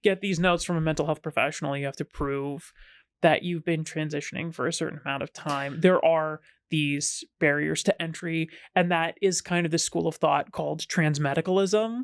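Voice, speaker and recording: A woman talking as a podcast guest, casual and self-deprecating, sometimes jumping up in pitch when she jokes. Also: steady tone, normal speed, dry recording. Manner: chatty and self-deprecating, with sudden playful jumps in pitch.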